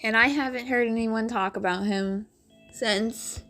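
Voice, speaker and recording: A woman with a raspy voice telling a rambling storytime, full of "like" fillers, over game footage. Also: fairly high pitch, fairly even intonation, measured pace, fairly bright tone, faint music behind. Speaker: vlogger.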